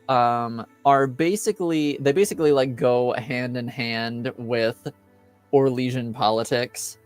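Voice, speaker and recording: Male game master, slow and hesitant, clean resonant tone, music underneath. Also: medium pitch, smooth. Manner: hesitant, halting delivery. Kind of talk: explaining rules and lore in a tabletop roleplaying game. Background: music behind the voice.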